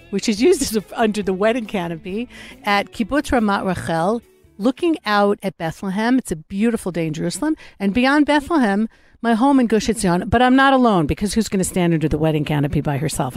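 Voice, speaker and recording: A woman hosting an interview show, chatty and animated, joking with her guest while sitting outdoors. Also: medium pitch, a little fast, soft background music. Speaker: talk show host. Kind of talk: casual interview. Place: outdoors on a platform.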